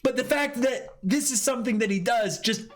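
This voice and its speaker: A man's voice: very high-pitched, brisk. A man chatting casually and reacting as co-host of a comic reading stream.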